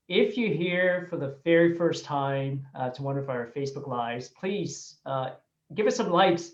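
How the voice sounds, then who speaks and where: A man's voice: on the high side, a little mellow. A man hosting a livestream interview, talking to viewers in an upbeat way and often repeating his words. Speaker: host of a Facebook Live show. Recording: echoey, muffled webcam audio.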